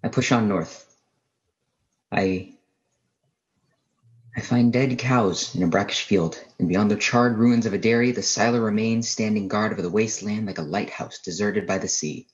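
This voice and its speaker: Man acting a low-voiced, haunted professor, last survivor. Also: lo-fi sound, natural voice. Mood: grim, watchful. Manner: halting, brooding, literary delivery. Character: haunted male astronomy professor, lone survivor of alien invasion. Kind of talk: dramatic first-person radio play narration.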